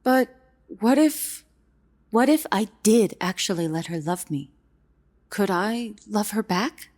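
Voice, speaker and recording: A woman playing a scatterbrained, earnest young huntress in an audio drama, speaking in an expressive, informal, hesitant way. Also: medium pitch, takes it slowly, no echo. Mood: uncertain. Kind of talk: fan audio drama character acting.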